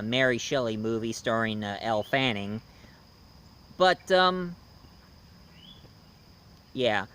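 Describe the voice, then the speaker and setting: A man hosting a casual, unscripted review, talking in a halting, rambling storyteller's way. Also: medium pitch, fairly bright tone, smooth. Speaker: host, film and book reviewer. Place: outdoors. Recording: noisy outdoor recording with insects.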